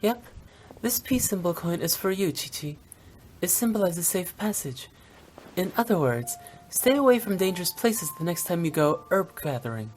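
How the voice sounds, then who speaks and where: A mischievous young funeral director teases and bubbles along, as a woman voices her. Her voice sits slightly low. Faint music plays behind her. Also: a little mellow, natural voice. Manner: cheeky, bubbly and teasing. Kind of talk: video game voice acting.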